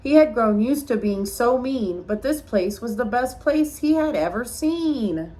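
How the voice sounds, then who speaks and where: A woman's voice: on the high side, a little slow, low-quality recording. A woman reading a rhyming picture book aloud like a teacher, expressively, with small asides to young listeners. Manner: expressive storytelling, addressing children.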